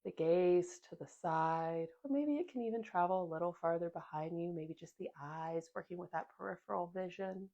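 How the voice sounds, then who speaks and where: Gentle, sniffly woman guiding yoga. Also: on the low side, lo-fi sound. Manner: gentle, calm cueing with soft pauses. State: sniffly from a sinus infection. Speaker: yoga instructor. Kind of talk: guided yoga class instruction.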